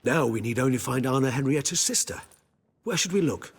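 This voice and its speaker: A man's voice: mid-range voice, animated, a little fast, breathy edge. A man voicing a courteous, tactful companion in a video game, with a polite, formal and earnest delivery. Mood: urgent. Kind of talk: video game cutscene acting.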